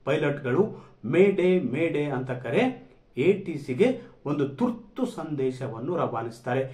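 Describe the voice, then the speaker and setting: A man's voice: medium pitch, a little mellow. A man presenting explanatory news to viewers, emphatically repeating and rephrasing key phrases. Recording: echoey, reverberant room.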